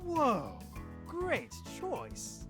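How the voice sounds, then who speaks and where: An actor playing a brisk, effusive photographer in a story game, commanding and expressive, over music. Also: high voice, exaggerated voice. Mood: delighted. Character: brisk, effusive fashion photographer directing a shoot.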